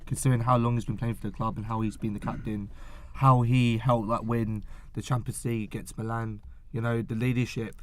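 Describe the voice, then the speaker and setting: A man's voice: mid-range voice, flat delivery, a little fast, hardly any pauses. A man arguing his side in a casual football podcast chat, full of "like" and "then again". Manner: argumentative, lots of fillers. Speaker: podcast co-host.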